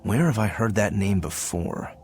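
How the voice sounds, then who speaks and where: A man's voice: on the low side, expressive, moderate pace, a little mellow, faint music behind, close to an everyday voice. A man playing an easygoing, wry young male demon swordsman in a video game, casual and curious. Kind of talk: video game cutscene acting.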